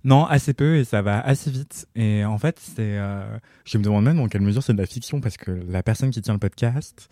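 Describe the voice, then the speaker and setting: A man co-hosting a chatty podcast, enthusiastic and quick, often stumbling over fillers as he explains things. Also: fairly low pitch, mellow, no echo, studio quality. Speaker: podcast co-host. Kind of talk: casual chat.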